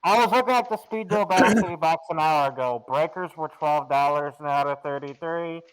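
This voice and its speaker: A man's voice: a little high, moderate pace, clear, resonant tone, rough recording. A man chatting casually about card games, blunt and sweary, often repeating himself. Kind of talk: casual card game chat. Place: online group voice call.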